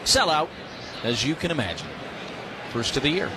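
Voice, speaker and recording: A man's voice: a little high, lively intonation, moderate pace, heavy background noise. A man doing live radio play-by-play commentary as a baseball announcer.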